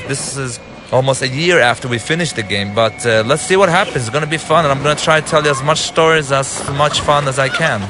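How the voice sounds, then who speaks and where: Halting man with a Lebanese Arabic accent. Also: on the high side, background noise. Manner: halting, searching for words in a second language. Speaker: game writer-director. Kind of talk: developer commentary walkthrough.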